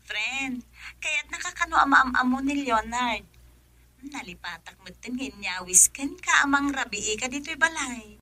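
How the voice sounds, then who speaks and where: A woman's voice: high voice, animated, unhurried, pushed and bright, no room sound, heavily acted. An actress playing a woman confiding in her friend in an Ilocano radio drama, with an Ilocano accent.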